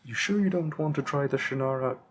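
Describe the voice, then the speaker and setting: A man's voice: medium pitch, a little hiss or hum, lightly acted. A man playing a patient, bookish vampire bookseller with a hint of menace, musing hesitantly in a conversational roleplay audio drama. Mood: quietly menacing. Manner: musing, hesitant, deliberate, with frequent pauses.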